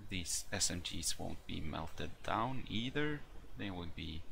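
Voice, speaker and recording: Guy, slow and halting, lots of ums, gravelly voice. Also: sits low, expressive, sharp tone. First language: not English. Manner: hesitant, halting delivery full of ums. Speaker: hobbyist video maker. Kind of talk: casual walkthrough with many fillers. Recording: noisy home recording with a stutter.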